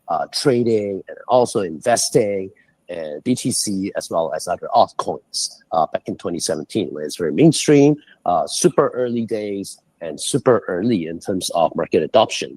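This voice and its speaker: A man from Singapore speaks as a guest over a remote call, with a somewhat low, husky voice. His pitch swings widely as he explains, lifting on the points he stresses. The sound is dry and close, with no room around it. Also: no room sound. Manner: animated, explanatory, pitch jumping on numbers and key points. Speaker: guest, head of global strategy. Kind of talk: livestream interview talk. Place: indoors, calling in from abroad.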